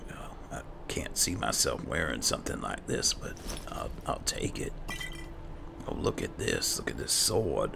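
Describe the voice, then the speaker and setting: A man with a gravelly voice giving laid-back, casual roleplay commentary as he plays a game, muttering to himself. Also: rumbling low, pushed and bright. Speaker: gaming video host, player. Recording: clear game ambience noise behind. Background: video game ambience.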